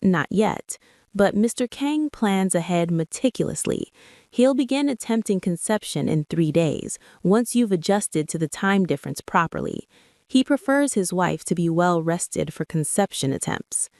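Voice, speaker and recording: A woman's voice: lowish voice, expressive, measured pace, a little mellow, no echo, clean studio sound. Woman reading as a stern, clinical household aide. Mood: cold, clinical. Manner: clinical, formal.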